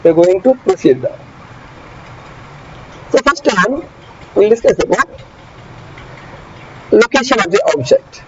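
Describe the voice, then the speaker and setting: Male lecturer, high voice, repetitive teacherly drilling, online class; muffled audio, traffic audible. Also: animated. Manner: repeats key phrases slowly, drilling students; asks students frequent check questions. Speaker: engineering lecturer. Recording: dull muffled audio with traffic noise behind.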